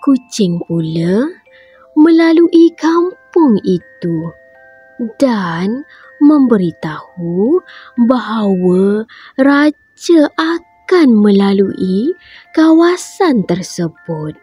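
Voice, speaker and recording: A woman reading a bedtime story for children, in a slow, gentle, sing-song way with a breathy voice. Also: medium pitch, big pitch swings, faint music behind.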